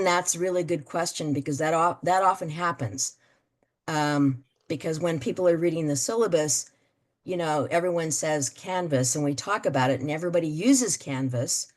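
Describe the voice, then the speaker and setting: A woman leading an online meeting, explaining things with many fillers and pauses. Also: lowish voice. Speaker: meeting facilitator.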